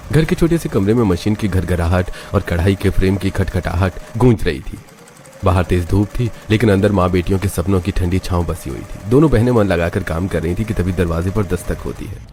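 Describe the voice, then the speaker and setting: A man's voice: deep voice, brisk, warm tone. A man reading scripted narration for a cartoon family story.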